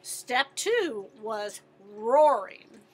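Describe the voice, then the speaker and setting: Animated, high-voiced woman reading to children. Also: extremely slow, slightly rough. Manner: expressive, asks the listeners questions. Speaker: teacher reading aloud to pupils. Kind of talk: animated children's picture-book read-aloud.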